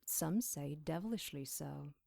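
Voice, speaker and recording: A woman's voice: low voice, lively intonation, unhurried, gravelly, dark tone, no echo, studio quality, exaggerated voice. An actress playing a captive demon witch in an audio drama, sly, wry and defiant in a dryly mocking way.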